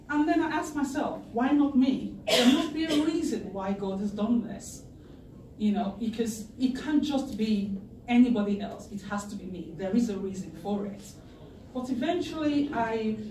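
A middle-aged woman giving a heartfelt personal testimony at a lectern, earnest and emotional, pausing to gather herself. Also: on the high side, dark tone, slight background noise. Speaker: patient campaigner giving a speech. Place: wood-panelled meeting room, indoors, at a lectern. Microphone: far camera in the audience. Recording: echoey room from far off, faint ambience.

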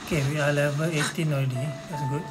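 A man's voice: on the high side, flat delivery, quick pace. A man giving casual game walkthrough commentary, murmuring brief thoughts to himself. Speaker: gamer. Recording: loud game music over the commentary.

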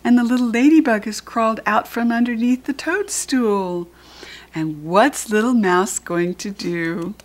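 A woman's voice: on the high side, unhurried. An older woman with an American accent reads a picture book aloud to young children, teaching as she goes with simple questions and pointing things out. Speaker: children's read-aloud host and reading teacher.

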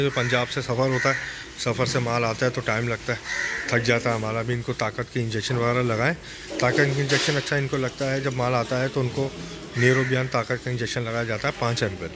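A man's voice: mid-range voice, very noisy. A man with a Pakistani accent casually narrating a farm tour as a vlogger, explaining things simply with some repetition.

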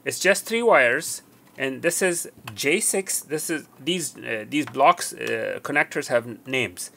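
Gravelly middle-aged man explaining tech. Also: mid-range voice, lively intonation, takes it slowly, pushed and bright, a little hiss or hum. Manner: explanatory, repeats and rephrases points. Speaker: tech tutorial presenter. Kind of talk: unscripted product demonstration.

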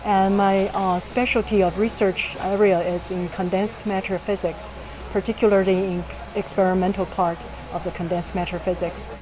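A middle-aged woman physics professor being interviewed, speaking in a measured, explanatory way with frequent fillers. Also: mid-range voice, little pitch movement. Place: indoors by a whiteboard. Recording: noisy background.

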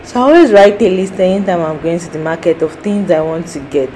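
A woman's voice: lowish voice, expressive, brisk, warm tone, slight background noise, rough recording. A young Nigerian woman, a native Yoruba speaker, chatting casually to her viewers as a food market vlogger. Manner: chatty, addresses viewers directly. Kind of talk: casual vlog narration.

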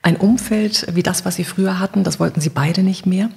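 A woman's voice: lowish voice, a little fast, vocal fry, dark tone. A woman hosting a podcast, putting conversational interview questions to her guests.

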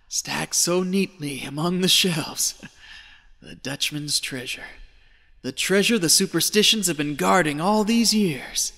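A man's voice: a little high, creaky, bright, forceful tone, full character voice. A man acting a greedy, half-mad treasure hunter in an audio drama, talking to himself in folksy exclamations. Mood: awed, unhinged. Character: feverish, greedy male treasure hunter descending into madness. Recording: echoey cave reverb with music behind.

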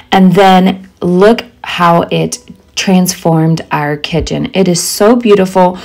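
Female home decor vlogger, slightly low-pitched voice, narrating a house tour in voice-over. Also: creaky, darkish tone.

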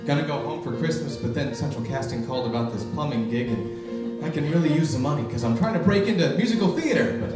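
A man's voice: on the high side, animated, quick pace, far from the mic, over loud music. Young male performer for a scripted comic monologue, heard in a very echoey room, slightly off mic. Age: young adult. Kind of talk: scripted comic monologue between song lines. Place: small indoor room with audience. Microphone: stand microphone.

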